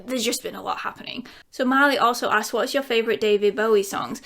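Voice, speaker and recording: Casual YouTuber woman, 30s, rambling Q&A chat, laughs easily, slightly higher voice. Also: fast, a bit bright. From England. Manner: chatty and giggly, rambling with many fillers; breaks into bits of song. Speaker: YouTuber answering viewer questions.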